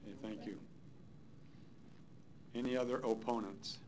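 A man's voice: fairly low pitch, steady tone, measured pace, darkish tone, low-quality recording. A man chairing a public hearing in a council chamber, moderating in short procedural phrases. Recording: clear background noise, public meeting room.

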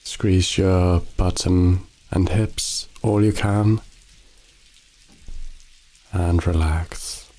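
A man with a very low, mellow voice leads a sleep meditation, speaking slowly and softly with a flat, even delivery. Rain patters steadily behind him. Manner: slow, soothing, calm instructions. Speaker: meditation guide. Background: rain sounds.

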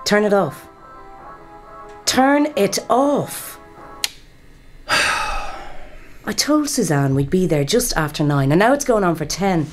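A woman's voice: mid-range voice, sing-song, slow, long pauses, soft background music. A woman playing an exasperated, insistent girlfriend with an Irish accent in a naturalistic audio drama. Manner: scolding, insistent. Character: exasperated, nagging girlfriend, socially polite.

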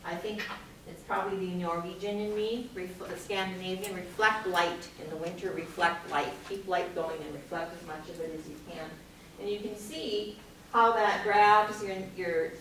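A woman's voice: medium pitch, a bit bright. A middle-aged woman, a master gardener, gives a chatty, practical demonstration of home decor to an audience, with many fillers. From Minnesota. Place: large meeting room with an audience. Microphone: far camera at the back of the room. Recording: echoey room, far from mic, audience noise.

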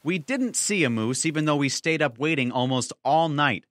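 A man's voice: fairly high pitch, expressive, normal speed, fairly bright tone, dry recording. A man narrating a travel news feature in scripted voice-over, with wry, dry humor. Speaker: travel show host and narrator.

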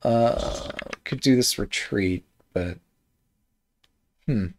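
A man reviewing a board game, thinking aloud in a hesitant, musing way with many pauses and trailing thoughts, with a slight Midwest American accent. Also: fairly low pitch, a little hiss or hum. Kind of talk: casual game commentary while thinking aloud.

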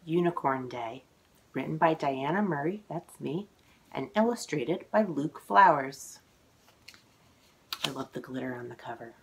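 A woman in her forties reading a children's picture book aloud, expressive and exclaiming, drawing out words for young listeners. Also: sits low, unhurried, pauses often, slight background noise, poor audio. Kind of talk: animated children's read-aloud storytelling. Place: living room at home, indoors.

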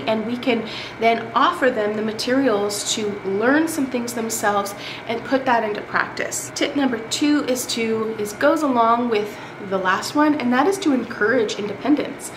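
A woman's voice: on the high side, normal speed. A woman in her thirties, a homeschooling mother, giving practical tips to the camera in a friendly, practical way at home. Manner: friendly, practical, gestures with her hands while talking. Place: living room of a home, indoors. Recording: echoey room with background noise.

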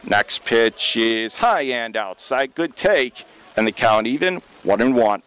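An American male baseball announcer calls the game live for radio. His voice is smooth and resonant, sitting at a medium pitch. He describes play in a measured, detailed way, filling in with statistics as he goes. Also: expressive, fairly bright tone, rough recording. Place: press box at a baseball stadium.

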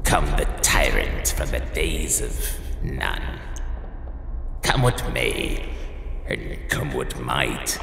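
A sinister storyteller with an extremely deep, gravelly voice. He draws out his words very slowly and chants them. The sound sits in a long, echoing reverb over loud ambience. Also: lively intonation, slight background noise, exaggerated voice. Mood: ominous. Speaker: show's narrator and host.

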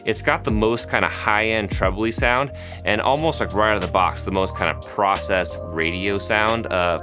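A man's voice: lowish voice, normal speed, music in the background. A man in his thirties with a nasal voice, chatting casually to camera about gear in a small home studio, close to the mic, with frequent fillers. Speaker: video host testing microphones. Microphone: studio microphones close in front.

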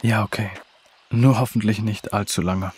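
A man playing a calm, brave jungle companion in a children's audio drama, husky and reassuring. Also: on the low side, moderate pace. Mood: hushed, confident.

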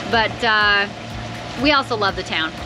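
A woman's voice: medium pitch, animated, measured pace. A woman hosting a sailing vlog in casual, giggly, easygoing chat, recorded outdoors on a boat. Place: outdoors on a sailboat. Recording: noisy open-air boat recording.

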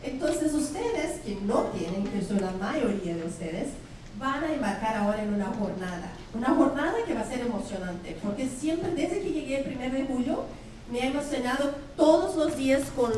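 A woman's voice: high voice, big pitch swings, normal speed, a little mellow, a little hiss or hum. A middle-aged woman from Brazil, a native Portuguese speaker with a Brazilian accent, giving a chatty, playful welcome speech to parents as school principal on a handheld mic. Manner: chatty, playful, asks the audience questions. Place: large school cafeteria hall. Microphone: handheld microphone. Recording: echoey hall, distant camera mic.

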